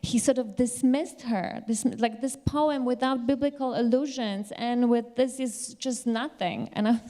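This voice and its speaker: A woman's voice: fairly high pitch, fairly even intonation, measured pace, dark tone. Hesitant, scholarly woman in discussion. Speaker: literary commentator in a discussion.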